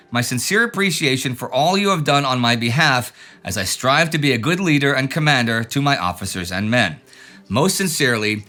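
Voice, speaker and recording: A man's voice: medium pitch, sharp tone. A man hosting a show, reading out viewer letters and comments with dry, joking asides. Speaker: show host.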